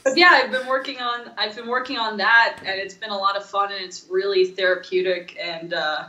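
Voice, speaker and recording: A woman co-hosting a casual podcast, rambling through stories in an animated, informal way. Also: mid-range voice, brisk, reverberant, rough recording. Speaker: podcast co-host. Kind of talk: casual chat.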